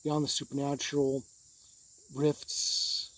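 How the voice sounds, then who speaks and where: A man's voice: mid-range voice, completely flat delivery, takes it slowly, fairly bright tone. A man giving an informal, unscripted review in a plain-spoken, matter-of-fact way. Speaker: tabletop game reviewer.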